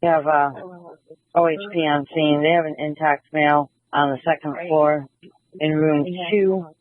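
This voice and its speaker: Tinny police radio dispatcher, higher-voiced man. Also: steady tone, normal speed, dry recording. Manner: clipped, procedural, repeats key details. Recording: tinny, band-limited police scanner feed.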